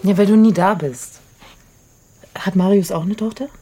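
A woman's voice: mid-range voice, normal speed, mellow, a little hiss or hum, natural voice. An actress playing a blunt, sardonic media woman in a radio drama, with a dry, pointed delivery. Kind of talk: naturalistic radio drama acting.

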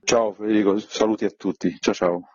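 A man with a very low, husky voice talks conversationally as an interviewed theatre director. The sound is dry and slightly muffled. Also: steady tone, a little fast, a little mellow, dry recording. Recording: dull lo-fi line, dry.

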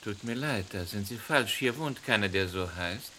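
An actor playing a chatty, helpful homeowner in a vintage radio crime play. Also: male voice, fairly low pitch, lively intonation, moderate pace, pushed and bright, a little hiss or hum. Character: helpful homeowner who grew up locally. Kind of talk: 1950s-80s radio crime drama.

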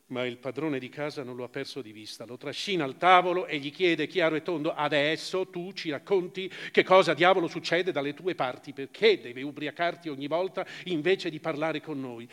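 A man's voice: on the high side, a bit bright, big-room sound. A man reading a literary story dramatically, with emphatic, theatrical delivery. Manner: theatrical, emphatic, acting out the dialogue. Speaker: actor reading a story on stage.

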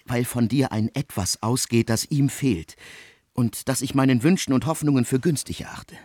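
An actor in a German radio play, playing a cool, probing, formal man with husky, deliberate, ornate and ironic diction. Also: medium pitch, darkish tone, dry recording, studio quality, natural voice. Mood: insistent. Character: cool, probing, formal man asking a friend to woo for him.

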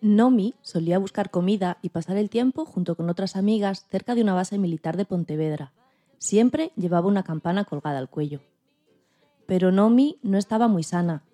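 A woman's voice: mid-range voice, fairly quick, mellow. A woman with an accent from Spain, reading scripted narration for a podcast. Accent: Spanish (Spain).

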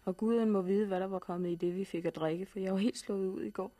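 A woman's voice: mid-range voice, steady tone, warm tone, natural voice. An actress playing a frightened, polite young woman who has survived a catastrophe, in a 1960s Danish radio drama. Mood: shaken, confiding. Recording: noisy vintage 1960s radio recording.

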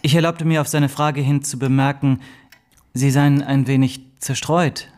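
Tactful subordinate for radio drama, played by a man: medium pitch, deferential, polite, slightly hesitant. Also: level tone, moderate pace, darkish tone, lightly acted. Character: polite, respectful, hesitant clerk to a gentleman. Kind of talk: German radio play acting.

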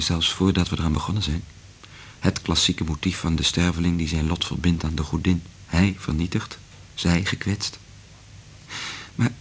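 An actor playing an earnest, resolute scientist in love in a radio play, speaking in a measured, intimate way with a husky voice. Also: male voice, low-pitched, a little hiss or hum. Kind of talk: Dutch radio play acting.

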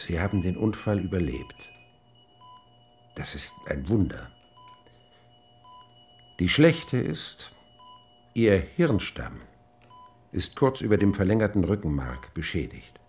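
A grave doctor in a radio drama. He is deep and creaky, with animated pitch and deliberate pauses between phrases. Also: exaggerated voice. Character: grave male doctor gently breaking terrible news.

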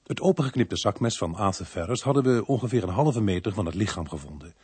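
A man's voice: on the low side, expressive, fast talker, a little mellow, husky. An actor playing a dry, matter-of-fact male police inspector who questions and narrates in a radio play. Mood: dry, reflective. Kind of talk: Dutch radio play, dialogue and first-person narration.

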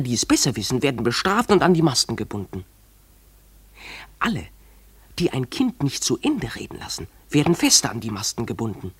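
An actor playing a dreamy, defiant runaway schoolboy in a German radio play, speaking in an imploring, declamatory way. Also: male voice, mid-range voice, slightly rough, dry recording.

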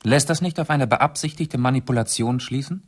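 An actor playing a shrewd English gentleman detective in a 1970s radio play, speaking in a deliberate, analytical and urbane manner. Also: medium pitch, husky, natural voice. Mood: analytical, composed. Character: shrewd English gentleman detective, methodically explaining his deductions.

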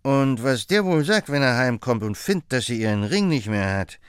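A man's voice: mid-range voice, animated, moderate pace, clean tone, no room sound, studio quality, voice pushed up, lightly acted. A man voicing a rambling, wrinkled old woman. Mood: chatty. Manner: folksy, rambling common speech.

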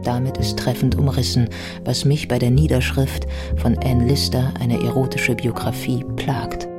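Reflective woman narrator over music. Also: a bass voice. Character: reflective female biographer narrating and questioning her own work. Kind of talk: scripted literary radio drama narration. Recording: music under the voice.

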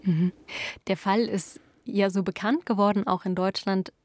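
A woman's voice: mid-range voice, fairly even intonation, unhurried, a little mellow. A woman interviewing someone, putting questions and observations in a conversational way.

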